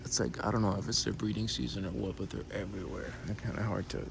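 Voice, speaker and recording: A man's voice: fairly low pitch, fairly even intonation, quick pace, creaky. A man from Miami, born in Cuba, talking casually and conversationally as he gives an unscripted tour outdoors in his backyard. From born in Cuba, raised in Miami. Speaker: host giving a tour of home fruit garden. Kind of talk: casual unscripted vlog narration. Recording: noisy outdoor recording with ambient sound.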